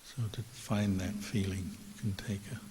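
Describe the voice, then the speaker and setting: A man teaching guided meditation as a Buddhist monk, calm and measured, with long pauses between phrases. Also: deep voice. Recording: echoey hall reverb with clear hiss.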